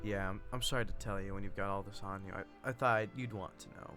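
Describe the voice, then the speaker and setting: A man reading the part of an easygoing thirty-year-old small-town doctor in a stage play, plain and halting. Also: on the low side, steady tone, pushed and bright, voice pushed down. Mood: apologetic. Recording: background music behind the voice.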